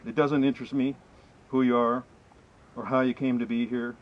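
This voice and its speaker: A middle-aged man reciting poetry to a small group outdoors, with earnest, deliberate and emphatic phrasing. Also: medium pitch, flat delivery. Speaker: poem reader, presenter. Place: outdoors in a wooded area. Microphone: camera mic a few meters away. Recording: slightly muffled outdoor camera audio, faint ambient noise.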